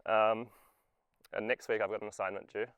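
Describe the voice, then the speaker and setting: A man's voice: on the low side, level tone, moderate pace, fairly bright tone, clear, resonant tone, dry recording. A young adult man, a student, giving an informal short talk about himself. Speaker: student speaker at a community event.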